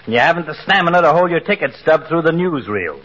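A man's voice: on the high side, big pitch swings, quick pace, a crowd in the background. A man playing a pompous, sardonic doctor in 1940s radio comedy, delivering florid, biting insults. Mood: haughty scorn. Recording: dull, noisy 1940s radio recording.

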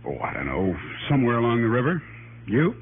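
A man's voice: mid-range voice, sing-song, a little fast, close to an everyday voice. An actor in an old radio drama playing an evasive, stubborn stepfather and fisherman, hedging as he talks. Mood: guarded, casual. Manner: hesitant, hedging. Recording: tinny, narrow, faintly noisy old radio recording.

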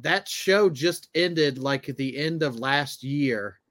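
A man's voice: on the high side, slow, fairly bright tone. A man co-hosting a casual comedy podcast, telling stories in a hesitant, meandering way. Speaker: podcast co-host.

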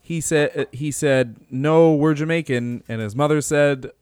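A man's voice: a little high, measured pace, smooth, no echo, studio quality. A man co-hosting a wrestling podcast, telling stories in a loose, joking way and laughing often. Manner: laughs often, animated storytelling. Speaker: podcast co-host. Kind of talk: casual podcast chat.

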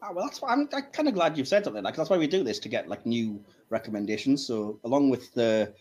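A man with a husky voice hosting a casual podcast chat about films. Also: medium pitch, big pitch swings, quick pace, darkish tone, lo-fi sound. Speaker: podcast host. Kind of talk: casual film ranking chat.

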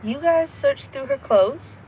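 A brisk young woman who likes to take charge. Her voice is high and animated, with a wry edge. The audio drama recording carries faint background noise. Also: full character voice, low-quality recording. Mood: brisk, focused. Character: bossy, brisk young woman leading amateur supernatural sleuths. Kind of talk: audio drama acting, naturalistic.

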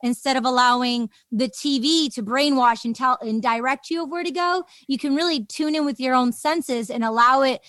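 Gushy rambly lady podcast host, really high voice, super into it, dry close sound. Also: moderate pace, few pauses, a bit bright, dry recording. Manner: gushing, enthusiastic, rambling. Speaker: podcast host interviewing a guest. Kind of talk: casual, effusive interview chat.

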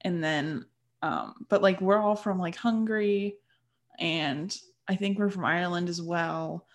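Young woman from the US, lowish voice, animated podcast host, musing. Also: unhurried. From United States. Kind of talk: casual podcast chat.

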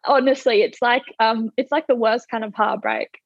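A young Australian woman talks casually as a podcast guest, her voice sitting fairly high. She rambles a little, with frequent fillers and restarts. The sound is dry and slightly dull, like a remote call. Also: steady tone, moderate pace, no echo. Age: 20s. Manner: chatty, many fillers and restarts. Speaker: guest, toy company founder.

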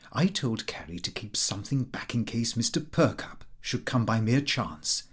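A man's voice: mid-range voice, husky. A man reading a comic diary as scripted narration, in a prim, fussy, precise manner. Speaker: audiobook reader.

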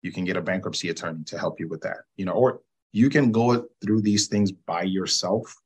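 A man from Zambia, a personal injury attorney, explaining his work in a measured, patient way as an interview guest, often checking in with "right?". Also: low voice, breathy edge. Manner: measured, explanatory, frequent "you know" and "right?".

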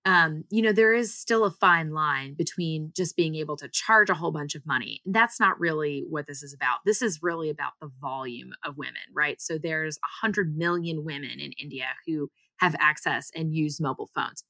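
A woman's voice: low voice, moderate pace, hardly any pauses, pushed and bright, dry recording. A woman from the US and Singapore, a founder giving explanatory, conversational answers as an interview guest. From United States and Singapore.

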